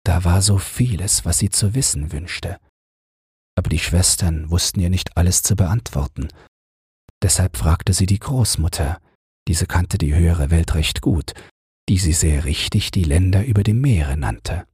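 A man narrating an audiobook fairy tale for bedtime in a soft, breathy voice, soothing and measured. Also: sits low, no echo, clean studio sound. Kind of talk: calm bedtime story narration.

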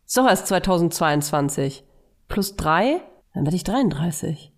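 A woman in her thirties, co-hosting a podcast in casual, self-mocking chat with loud laughs. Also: fairly low pitch, animated, a little slow. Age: 30s. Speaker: podcast co-host.

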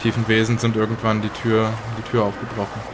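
A man's voice: fairly low pitch, monotone, fast talker, noisy background, lightly acted. Grave, troubled survivor played by a man. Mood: grave, recounting. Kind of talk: improvised in-character audio drama.

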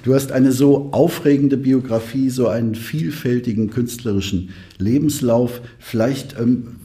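Mellow-voiced man, tentative interviewer. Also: mid-range voice, a little slow. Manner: tentative, hesitant phrasing. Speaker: podcast host, musician. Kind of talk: conversational interview.

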